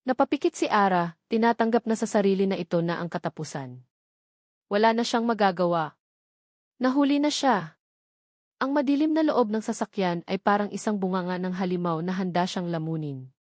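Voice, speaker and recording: A woman delivers scripted, dramatic story narration. Her voice sits at a medium pitch, and the recording is dry with very little room sound. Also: normal speed, darkish tone, dry recording.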